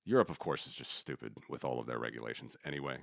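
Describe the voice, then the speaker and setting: Very deep-voiced man, rambling commentary. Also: fast, vocal fry, no echo, studio quality. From United States. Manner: rambling, restarts, thinks aloud, opinionated. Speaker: podcast host. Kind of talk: casual economics commentary.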